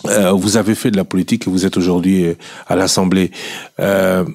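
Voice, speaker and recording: A man interviewing a guest on the radio, asking probing, measured questions with some fillers. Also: deep voice, little pitch movement, darkish tone, dry recording.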